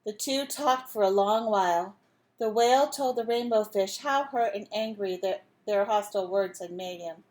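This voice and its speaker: A woman reading a storybook aloud as a teacher would, pausing to put simple questions and instructions to young listeners. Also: medium pitch, a little mellow. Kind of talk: storybook read-aloud with asides to children.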